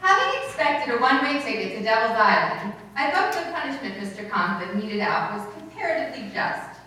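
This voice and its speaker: An actress playing a wry, wisecracking high school teacher with dry, theatrical radio comedy delivery. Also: female voice, sing-song, a little fast, sharp tone. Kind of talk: old-time radio comedy acting. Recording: echoey room from far off, faint noise.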